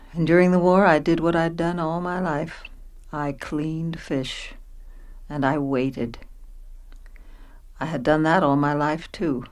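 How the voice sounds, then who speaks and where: A woman's voice: sits low, a little mellow. A woman playing a long-suffering, middle-aged working mother in a radio drama, narrating and speaking in scenes.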